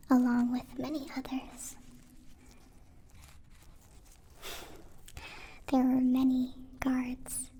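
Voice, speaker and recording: Woman doing a kind alien girl for asmr, breathy mellow whispering, slow n formal, bit of background noise. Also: high voice, low-quality recording. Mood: curious, matter-of-fact. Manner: stilted, formal, precise phrasing without contractions. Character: gentle captive-born female alien caring for a wounded human. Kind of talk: ASMR roleplay, mostly whispered.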